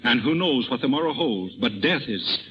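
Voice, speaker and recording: An actor playing a roguish, passionate poet-thief with theatrical delivery in a 1930s radio drama. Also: male voice, medium pitch, animated, moderate pace, slight background noise, close to an everyday voice. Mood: pleading. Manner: theatrical, impassioned. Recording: dull 1930s radio broadcast.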